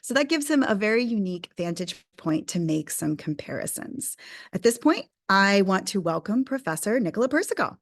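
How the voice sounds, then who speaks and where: A woman's voice: mid-range voice, big pitch swings, normal speed. Upbeat woman hosting a webinar. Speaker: webinar host and editor. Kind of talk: scripted introduction.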